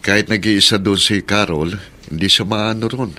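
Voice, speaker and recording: Dutiful adult son, Filipino radio drama. Low, bright-edged voice, polite and calming. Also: male voice, normal speed. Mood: polite, reassuring. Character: respectful, reassuring adult son and husband.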